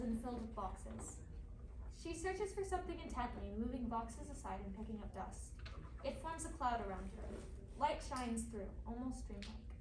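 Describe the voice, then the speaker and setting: A woman reading stage directions as scripted narration in a staged play reading. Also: a little high. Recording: roomy, noisy, distant staged reading recording.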